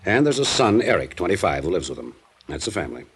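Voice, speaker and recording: A man playing a smooth, playful insurance broker in a 1950s radio drama, talking in an offhand, urbane way. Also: low-pitched, big pitch swings, fast talker, exaggerated voice. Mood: businesslike. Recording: old radio recording, faint noise.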